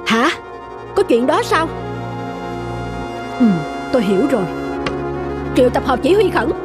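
A stern, middle-aged cartoon vice admiral acted out by a woman speaks gravely and with command. The voice is high for the part, with loud music behind it. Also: very animated, unhurried.

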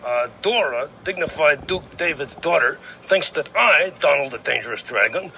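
Sharp, forceful puppet dragon villain. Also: male voice, fairly high pitch, expressive, vocal fry, slight background noise, heavily acted, rough recording. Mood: scornful, indignant. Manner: theatrical villain, drawn-out delivery. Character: dangerous, nasty male dragon, blustering and sneaky. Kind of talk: puppet storybook skit for children.

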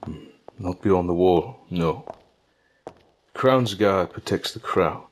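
Man acting deep-voiced older king, slow, formal, fatherly, audio drama, faint background noise. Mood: patient, explaining. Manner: deliberate, formal diction. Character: fatherly, measured older king, patient and gentle.

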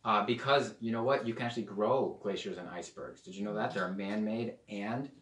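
A young man preaching a sermon at a whiteboard, lively and asking his audience questions. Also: lowish voice, a little fast, distant mic. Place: indoor room with whiteboard and podium. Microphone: far camera. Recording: roomy echo, voice away from the mic.

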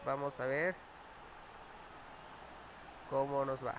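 A man's voice: medium pitch, little pitch movement, slow, background noise. A man with a Mexican accent and a cold, chatting casually while playing and swearing at the plays. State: has a cold. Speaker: gamer commentating his own match. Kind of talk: casual gameplay chat. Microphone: clip-on mic near his mouth.

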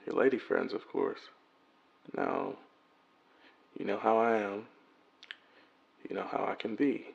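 A man's voice: mid-range voice, measured pace, gravelly, highs cut off. A man acting a jealous, anxious boyfriend in a song skit, swearing and wavering between panic and anger. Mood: pleading. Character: jealous anxious boyfriend waiting up all night.

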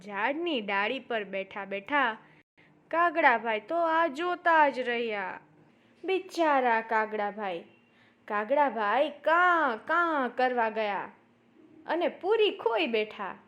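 A woman's voice: a little high, animated, slow pace, fairly bright tone, highs cut off. A woman narrating a story for children as a storyteller, addressing young listeners directly.

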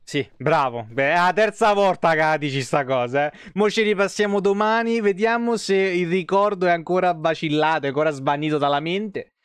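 A man's voice: fairly high pitch, moderate pace, hardly any pauses, clear, resonant tone, no echo. A man, giving casual, sweary, grumbling live game commentary into a close mic. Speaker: game streamer. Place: small indoor room.

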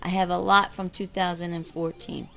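A woman's voice: on the low side, little pitch movement, moderate pace, creaky, fairly bright tone. A middle-aged woman, talking casually and bluntly in a rambling, aggrieved way to camera at home. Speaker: home vlogger. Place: rooms of a home, indoors.

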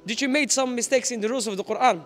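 A man's voice: very high-pitched, very fast. A man in his thirties hosting an on-camera street interview in a shopping mall, mixing in religious phrases. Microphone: small handheld wireless mic.

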